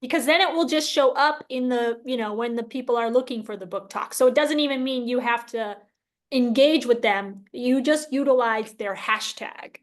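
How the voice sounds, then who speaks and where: High-voiced woman hosting a casual chat. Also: normal speed. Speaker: interview host.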